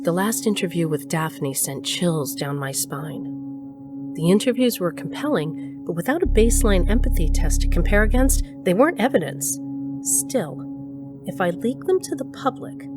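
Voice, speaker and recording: A woman's voice: on the low side, sing-song, normal speed, music in the background. An actress playing an uneasy woman who narrates her suspicions in a scripted first-person audio drama. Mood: uneasy, questioning.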